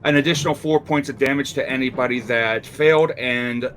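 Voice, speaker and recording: A man's voice: medium pitch, fairly quick, a crowd in the background, poor audio. A man talking casually and matter-of-factly as a player in a tabletop game.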